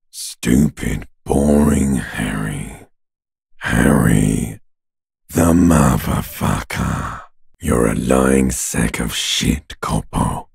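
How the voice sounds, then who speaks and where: A man voicing a morbid, taunting undead creature in a video game, with a gravelly, raspy growl and a drawling, crude, mockingly intimate manner. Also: rumbling low, no room sound, clean studio sound, heavily acted. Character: male ancient reptilian brain and talking corpse, taunting, morbid. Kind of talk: video game character voice acting.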